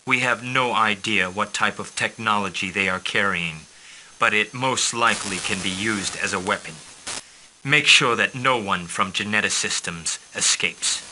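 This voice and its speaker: Bossy mission controller, sharp bright voice, light hiss behind. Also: male voice, lowish voice, moderate pace, low-quality recording. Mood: commanding. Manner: clipped, formal orders. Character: crisp, commanding male mission controller. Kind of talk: game cutscene briefing.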